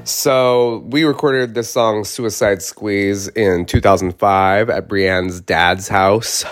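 A man's voice: low voice, no echo, clean studio sound. A man in his forties co-hosting a podcast in loose, joking casual chat, swearing freely. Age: 40s. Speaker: podcast co-host.

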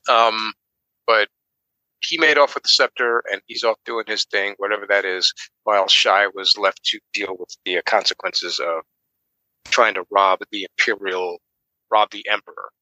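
Man, very bright forward voice, dry sound, halting, casual book-podcast co-host. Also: lowish voice, fairly even intonation, no room sound, rough recording.

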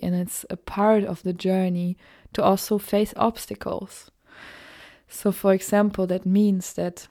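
A woman with a German accent talks through a solo spiritual podcast, searching for her words and slipping into soft whispers. Her tone is mellow and dark, with a gravelly fry under it. She sounds gentle and unhurried. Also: medium pitch. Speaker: podcast host.

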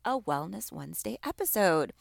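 A woman hosting a motivational podcast for mothers, her voice a little raspy from allergies, encouraging and repeating phrases for emphasis. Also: medium pitch, big pitch swings, moderate pace, dry recording, clean studio sound. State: slightly raspy from allergies and screaming. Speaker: podcast host.

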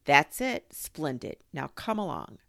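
A woman's voice: low-pitched, sing-song, vocal fry, a bit bright, no echo, studio quality, full character voice. Woman putting on a bossy, emphatic male rabbit. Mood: brisk, bossy. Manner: emphatic, brisk, instructing. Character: bossy, brisk, confident male rabbit schemer.

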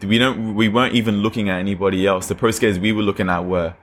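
A man's voice: fairly low pitch, fairly even intonation, quick pace, slight background noise. A young man from Australia and Nigeria, a skater guest chatting loosely with slang and lots of fillers. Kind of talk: casual chat.

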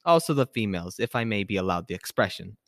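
A man's voice: lowish voice, lively intonation, fast talker, vocal fry, no echo, studio quality. A man playing a charming young man who gives a playful, mock-formal speech in an engaging manner.

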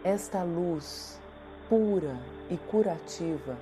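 A woman with a Brazilian accent narrating a guided meditation in a soothing, deliberate way, leaving long pauses. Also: fairly low pitch, animated. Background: meditation music.

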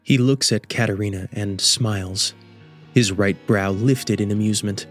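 A man's voice: lowish voice, steady tone, moderate pace, faint music behind. A man reading scripted story narration as the narrator of an audio drama.

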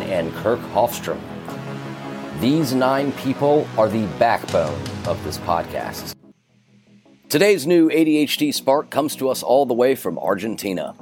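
Deep-voiced man reading an earnest intro. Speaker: podcast host. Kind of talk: scripted podcast intro. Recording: music bed underneath.

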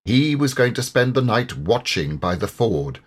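A man's voice: medium pitch, sing-song, measured pace. A man voicing a kindly water rat for an audiobook, a grave and earnest friend full of concern. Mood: anxious, sympathetic. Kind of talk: audiobook character voice.

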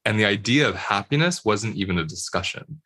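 A man with a low yet bright, forward voice leads a heartfelt discussion. He speaks casually, with earnest emphasis and an expressive delivery. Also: brisk, breathy edge. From Puerto Rican family background.

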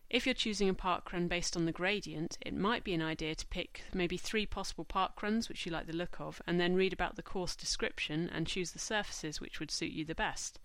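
A woman hosting a podcast, stuffy from a cold, speaking in a measured, informative way. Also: lowish voice, fairly bright tone, no echo. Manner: measured, informative, reads lists slowly. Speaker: podcast host. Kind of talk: scripted podcast monologue.

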